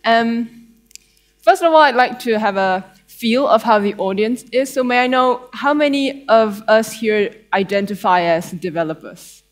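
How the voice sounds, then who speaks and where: Young Malaysian woman with a high-pitched voice. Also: lively intonation, unhurried. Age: young adult. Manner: conversational, playful, dry humour. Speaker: conference speaker, developer advocate. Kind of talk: conference tech talk. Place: theatre stage before an audience.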